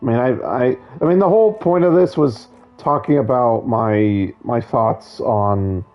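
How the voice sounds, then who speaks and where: A hoarse man losing his voice, giving casual, opinionated, digressive commentary on a video game. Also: mid-range voice, a little slow, muffled recording, faint music behind. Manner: opinionated, digressive, many restarts.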